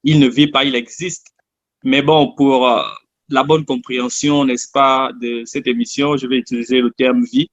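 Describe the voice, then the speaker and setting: A man's voice: mid-range voice, steady tone, normal speed, dry recording. Gabonese man giving testimony, dull recording. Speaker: interview guest giving testimony. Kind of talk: conversational personal testimony.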